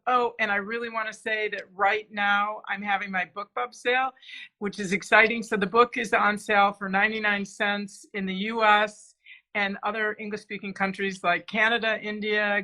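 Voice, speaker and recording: A woman author being interviewed, talking casually and haltingly, restarting her sentences. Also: medium pitch, pushed and bright. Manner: hesitant, many fillers and restarts. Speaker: author guest.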